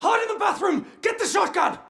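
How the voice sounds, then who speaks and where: An actor playing a frantic, vicious militant fighter under siege, shouting in a video game with a strained voice. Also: extremely high pitch, animated, slow pace, vocal fry, echoey room, a faint crowd, heavily acted.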